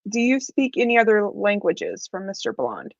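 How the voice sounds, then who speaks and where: A woman's voice: mid-range voice, sing-song, normal speed, no room sound. Woman chatting casually on a dull mic. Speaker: co-host reading out member questions. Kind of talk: casual chat.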